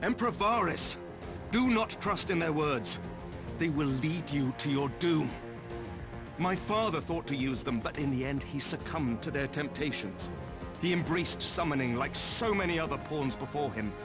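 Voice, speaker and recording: A man's voice: on the high side, expressive, normal speed, music in the background, lightly acted. A man playing an earnest young nobleman in a video game, speaking in a formal, solemn, admonishing way. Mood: grave, urgent. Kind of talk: dramatic video game cutscene dialogue.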